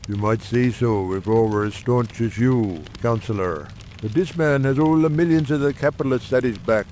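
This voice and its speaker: A man acts out an earnest, shrewd brother of a secret coal-town society in an audiobook drama. He speaks formally and persuasively at a medium pitch, confident in his case. A faint noise runs behind the voice. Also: moderate pace, warm tone, lightly acted. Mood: confident, urgent.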